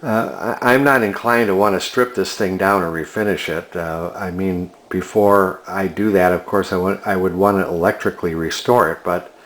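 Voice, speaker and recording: A man giving a casual, unscripted walk-through as a collector, talking in a halting, musing way. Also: sits low, steady tone, a bit bright. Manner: hesitant, musing, halting delivery. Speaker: vintage electronics collector presenting a find.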